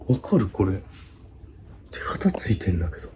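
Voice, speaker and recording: A man's voice: low voice, sing-song, normal speed. A man exploring a haunted place as a video host, reacting in a jittery, frightened way with exclamations and mutters. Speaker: host of a ghost-hunting video series. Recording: loud music under the voice. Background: loud music.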